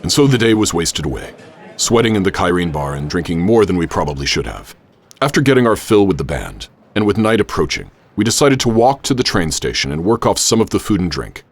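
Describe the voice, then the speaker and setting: An adventurous professor narrating a radio play. His low voice moves up and down with theatrical flair, and music runs behind it. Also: very animated, exaggerated voice. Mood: reflective. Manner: measured, literary, theatrical storytelling. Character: adventurous, wary gentleman professor recounting his exploits. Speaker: narrator and lead character. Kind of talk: radio theater, dramatic first-person narration.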